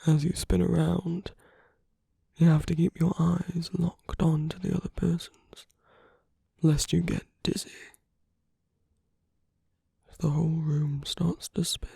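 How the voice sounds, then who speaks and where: A woman performing an ASMR roleplay as a suave, teasing, flirtatious villain, in a breathy, husky half-whisper with slow, coaxing murmurs. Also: medium pitch, little pitch movement, dark tone, no echo, studio quality, full character voice. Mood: patient, guiding. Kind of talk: ASMR roleplay, intimate scripted monologue.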